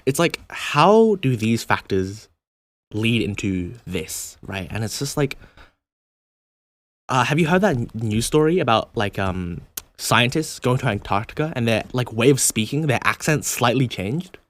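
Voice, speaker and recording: A man's voice: mid-range voice, big pitch swings, a little slow. A young man with a mild Australian accent, a podcast guest chatting casually, often laughing as he rambles.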